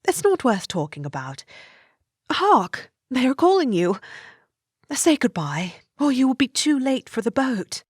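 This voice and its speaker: An actress playing a brisk, sensible married Victorian lady, scolding and theatrical, in an audiobook drama. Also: high voice, very animated, slightly rough, no room sound, clean studio sound, heavily acted. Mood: light, hurried.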